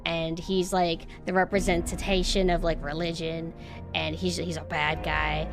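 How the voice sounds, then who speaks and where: A woman's voice: low-pitched, little pitch movement, a little slow, gravelly, over music. Nasal young woman ranting on stream. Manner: rambling, sarcastic, swears freely. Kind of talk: casual stream chat.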